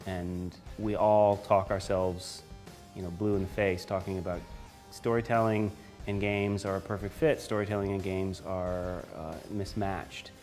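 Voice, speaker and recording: A man giving reflective interview commentary in measured, emphatic phrases with pauses. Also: deep voice, fairly even intonation, darkish tone, soft background music.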